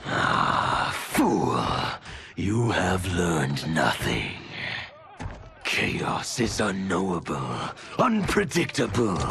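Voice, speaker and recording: Gravelly, taunting chaos titan villain. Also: male voice, low-pitched, background noise, heavily acted. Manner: theatrical, taunting declarations. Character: gloating chaos titan villain, fierce and menacing. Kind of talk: video game villain acting.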